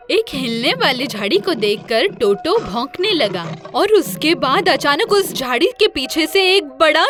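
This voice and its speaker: A woman narrating a scripted children's story as storyteller. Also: sits high.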